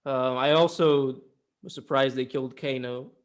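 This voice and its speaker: A man's voice: mid-range voice, steady tone, normal speed, clean tone. A man co-hosting a casual film review, giving opinions in a blunt, argumentative way with some swearing.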